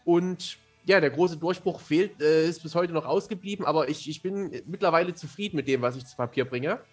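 A man with a high, somewhat raspy voice talks casually in an interview, with faint music behind him. He is wry and self-deprecating and keeps his wording colloquial. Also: a little fast. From Germany. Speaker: fantasy author, podcast guest.

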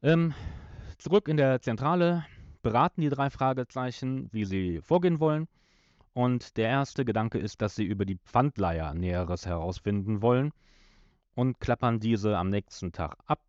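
A man co-hosting a podcast, retelling a story in a casual, semi-scripted way and often halting to correct himself mid-word. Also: lowish voice, a little mellow, no room sound. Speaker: podcast co-host. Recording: slightly dull, dry lo-fi microphone.

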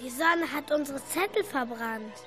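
A young schoolchild playing an excited child detective in an amateur radio play, declaiming each line. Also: female voice, high-pitched, normal speed, faint music behind. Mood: amazed. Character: excited schoolchild detective on a forest treasure hunt.

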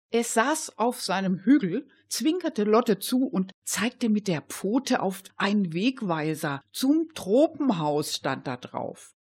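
A woman's voice: mid-range voice, husky. A woman narrating a crime radio play in scripted storytelling style.

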